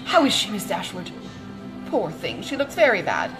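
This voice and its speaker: An older gentlewoman from a classic storybook, played by a woman reader, chatters on with great feeling. Her pitch leaps and swoops as she exclaims, kindly and gossipy, quick to take offence on behalf of others. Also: huge pitch swings, music in the background. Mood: compassionate, indignant. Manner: exclamatory, chattering, dramatically emphatic. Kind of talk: audiobook character dialogue from a classic novel.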